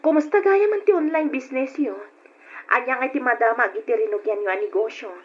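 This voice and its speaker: A woman's voice: a little high, a little fast, dull audio, natural voice. A woman acting in an old radio drama as a suspicious, protective wife, pointed and reproachful. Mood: pointed, wary. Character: suspicious, protective wife wary of freeloading relatives.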